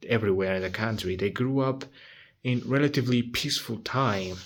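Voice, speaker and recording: A man's voice: medium pitch, brisk. A nineteen-year-old man talking frankly to his handheld phone in a casual vlog, swearing often and restarting his sentences. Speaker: vlogger.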